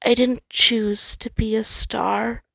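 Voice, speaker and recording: Woman acting out a heartbroken sobbing male sun, high-pitched. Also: little pitch movement, takes it slowly, creaky, a bit bright, no echo, heavily acted. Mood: grieving. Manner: sobbing, crying out in anguish. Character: heartbroken, frightened male sun star trapped as a human.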